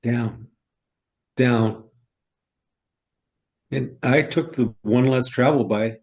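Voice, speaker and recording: A man playing a middle-aged newcomer farmer in a stage play, musing and curious in a deliberate, ruminative manner. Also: mid-range voice, a little mellow. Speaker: actor in a staged reader's theater.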